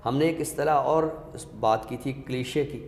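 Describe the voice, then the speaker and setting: A man's voice: mid-range voice, normal speed. Man explaining literature in an echoey room. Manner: didactic, explains step by step with examples. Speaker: literary expert guest. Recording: echoey reverberant room with background noise.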